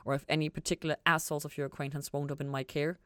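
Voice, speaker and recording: A woman puts on a suave male devil for a fan fiction audiobook. The voice is low and dry in sound, formally worded and proud, with a wry, drawling wit. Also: little pitch movement, very fast, fairly bright tone, clear, resonant tone, no echo. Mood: wry, confiding.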